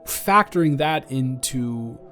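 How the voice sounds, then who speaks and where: A young man playing a flustered, awkwardly tender ex-boyfriend in an improvised romantic audio roleplay, halting and stammering as he rambles and corrects himself. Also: mid-range voice, expressive, sharp tone. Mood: processing, curious. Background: background music.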